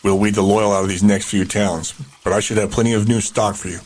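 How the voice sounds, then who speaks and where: An actor playing a commanding, callous compound boss in an audio drama, curt and self-assured. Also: male voice, fairly low pitch, level tone, a little fast, a little mellow, a little hiss or hum, natural voice. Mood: cold, businesslike. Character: commanding, callous male leader of a slaving compound.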